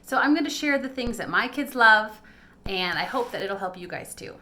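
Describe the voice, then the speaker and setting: Female homeschool vlogger, mid-pitched and bright, casual and encouraging parent-to-parent delivery. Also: expressive, normal speed. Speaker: homeschool video host and parent. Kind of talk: casual read-aloud recommendation vlog.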